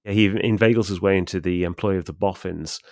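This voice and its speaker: A man co-hosting a literary discussion in a scholarly, measured manner, setting out his points with care. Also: sits low, steady tone, dry recording, studio quality. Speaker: podcast co-host.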